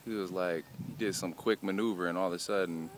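A man's voice: deep voice, fairly even intonation, fast. A young man narrating a friend's launch on a mountain slope, joking, swearing and laughing, recorded on a phone outdoors. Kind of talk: excited casual narration. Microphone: phone camera held by speaker. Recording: outdoor phone video with faint wind noise.

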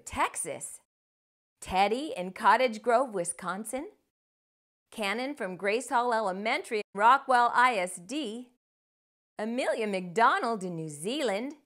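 A middle-aged woman hosting a children's storytime, speaking in an animated, expressive way to young readers. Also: on the high side. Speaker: host of children's read-aloud show. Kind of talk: children's storytime read-aloud and presenting. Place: small furnished room indoors. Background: music.

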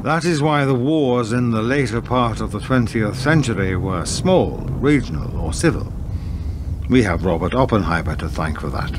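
A cold, calculating banker acted by a man lectures deliberately in a radio play. His mid-pitched voice moves widely up and down with a superior air, over clearly audible background noise. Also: lightly acted.

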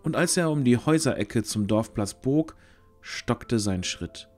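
A man's voice: medium pitch, animated, unhurried, darkish tone, faint music behind. A man narrating a scripted fantasy audio drama as its storyteller.

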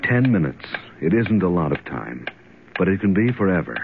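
A man reads a grave public service announcement in a deep voice with dramatic swings. Ticking and noise sit behind him on a tinny old radio recording. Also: big pitch swings, moderate pace.

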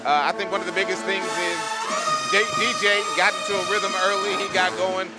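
A man hosting postgame radio from courtside in a big arena, talking fast through a headset mic. His voice sits a little high, and loud music plays underneath. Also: flat delivery. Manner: brisk, reads out stats. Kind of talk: postgame sports interview. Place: large indoor arena, courtside.

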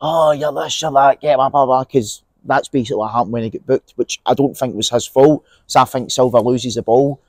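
A man's voice: medium pitch, fairly quick, hardly any pauses, darkish tone, no echo, studio quality. A young Scottish man giving casual, opinionated football talk outdoors in an empty stadium, on a lapel mic, with many fillers and restarts. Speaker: podcast co-host.

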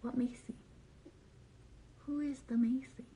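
A woman's voice: high voice, steady tone, measured pace, creaky, mellow. A young woman chatting casually on a livestream from her bedroom, reading viewer comments aloud in a bubbly, playful, rambling way full of slang. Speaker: social media creator on a live stream. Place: bedroom, small indoor room. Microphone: phone camera at arm's length.

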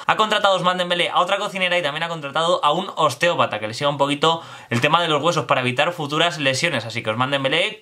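A young man, talking to camera from his bedroom about football news in a chatty, energetic way. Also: fairly high pitch. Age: young adult. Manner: fast, energetic and chatty, addressing viewers directly. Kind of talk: casual news commentary to camera. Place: bedroom with posters.

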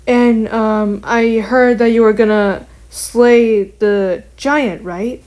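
A woman's voice: a little high, fairly even intonation, slow pace, darkish tone. A woman voicing an earnest, wary young bat heroine in an amateur cartoon, talking in a casual, hesitant way. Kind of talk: amateur animated voice acting.

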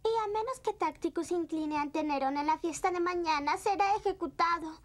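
A woman's voice: extremely high pitch, moderate pace. A woman voicing a wistful, earnest little girl in a cartoon. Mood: worried, earnest. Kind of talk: cartoon acting.

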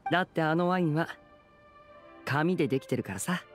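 A man voices a young stage magician in an anime game. He speaks courteously and persuasively in a fairly high male voice, over faint music. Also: expressive, a little fast, close to an everyday voice. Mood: polite. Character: polite, smooth-talking teenage boy magician.

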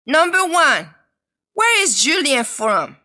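Bright high-voiced woman reading dialogues. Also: very animated, takes it slowly. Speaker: textbook audio reader. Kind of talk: scripted dialogue reading for learners.

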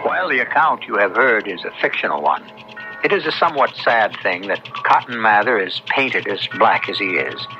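A man hosting and narrating a radio drama in a measured, literary, solemn scripted style. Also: on the low side, expressive. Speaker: host and narrator. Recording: dull, band-limited old radio recording. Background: music.

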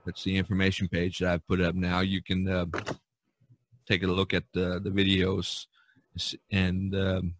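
A low-pitched man hosts a podcast over a video call. He rambles and pauses often, filling the gaps with "uh" and "um". The sound is dry and close. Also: completely flat delivery, no room sound. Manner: rambling, halting, many fillers. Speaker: podcast host. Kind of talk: casual video-call chat.

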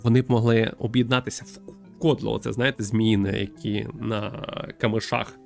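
A man in his thirties from Ukraine, thoughtfully and haltingly commenting on a visual novel as he livestreams on a close mic. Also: fairly low pitch, level tone, vocal fry, darkish tone. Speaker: game streamer. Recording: music behind the voice. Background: background game music.

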